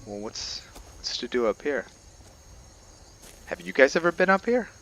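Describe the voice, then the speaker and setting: A man giving casual, somewhat halting commentary over gameplay as the host of a gaming video. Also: medium pitch, very animated, fairly bright tone.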